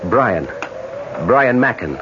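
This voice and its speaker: Earnest, animated bewildered traveler, old radio. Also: male voice, medium pitch, slow, noisy background. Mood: puzzled, courteous. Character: bewildered, earnest American male traveler in Ireland. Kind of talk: 1970s radio drama acting and first-person narration. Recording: muffled 1970s radio broadcast, loud music.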